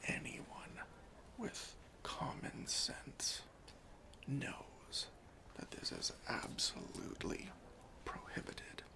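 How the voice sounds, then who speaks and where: A man performs an ASMR roleplay in a breathy, crackly whisper. He plays a lenient, deadpan airport security officer, speaking very slowly and deliberately, with pauses and widely swinging intonation. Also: medium pitch. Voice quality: breathy whisper with some fry. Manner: slow, hushed, deliberate, with pauses.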